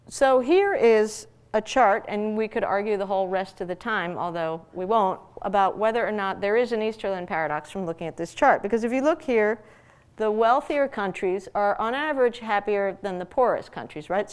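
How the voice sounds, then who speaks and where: A smooth, clean female voice at an ordinary pitch, from a speaker who grew up in Peru. She lectures like an academic at ease with her audience. Her delivery is explanatory and conversational, with rhetorical questions to keep listeners following. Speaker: economist giving a public lecture.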